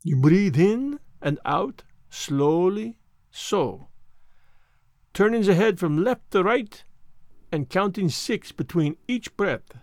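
A male narrator plays a fussy little male detective with a French accent. He is self-assured and dramatic, in a fairly high, very mobile voice, phrasing each thought formally for an audiobook story. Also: expressive, darkish tone, dry recording, studio quality, exaggerated voice. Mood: queasy, distressed. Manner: theatrical, deliberate delivery with formal phrasing.

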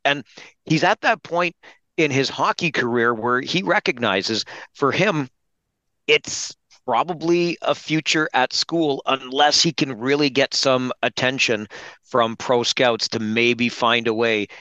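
A man with a crisp-edged, bright voice talks hockey as a guest analyst. He lays out his points in an articulate, measured way, at a middle pitch. The sound is dry and close, with no room ring. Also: dry recording, rough recording. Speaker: hockey play-by-play broadcaster and guest commentator. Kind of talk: sports podcast interview analysis.

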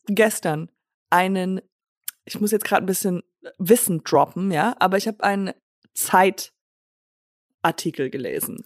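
A young woman from Germany co-hosts a casual podcast, talking in loose, rambling bursts and slipping into English now and then. Her voice sits in a middle pitch and is recorded close and dry, with no room sound. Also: unhurried, pauses often, dry recording. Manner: chatty, rambling, giggly, drops English phrases. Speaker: podcast co-host. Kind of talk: casual chat.

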